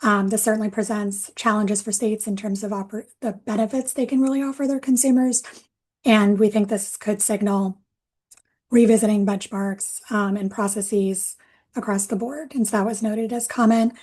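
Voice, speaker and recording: A woman's voice: mid-range voice, steady tone, moderate pace, darkish tone, breathy edge. A woman giving a webinar presentation over a video call, explaining steadily with frequent fillers. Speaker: policy presenter.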